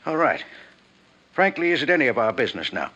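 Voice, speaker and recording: A nervous, cautious male investigator, tense and swinging widely in pitch. He frets emphatically in 1950s radio drama style, on a dull old recording. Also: sing-song, brisk, sharp tone, slightly rough, exaggerated voice. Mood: worried. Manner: fretful, hesitant, emphatic. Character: anxious, cautious, fatalistic male private operative.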